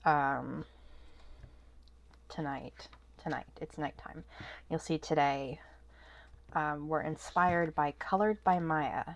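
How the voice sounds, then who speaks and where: A woman's voice: sits low, fairly even intonation, slow pace, pauses often. A woman with a stuffy, nasal voice from a cold, chatting casually at her desk as a vlog host, rambling with lots of ums and restarts. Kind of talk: casual haul vlog chat. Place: at a home desk.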